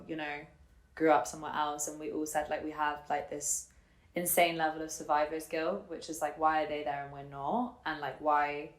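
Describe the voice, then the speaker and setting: 20s woman w a low voice, telling her own story kinda haltingly, thinking out loud, bit of room echo. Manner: reflective, halting, thinks aloud with frequent "like". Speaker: interviewee telling her family and life story. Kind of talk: casual personal storytelling. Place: indoor room in Germany. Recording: roomy echo on the voice.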